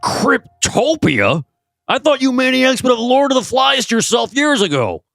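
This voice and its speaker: A man's voice: very high-pitched, sing-song, normal speed, gravelly, a bit bright, no echo, heavily acted. An actor playing a wry, grumbling older male adventurer in an audio play. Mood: incredulous.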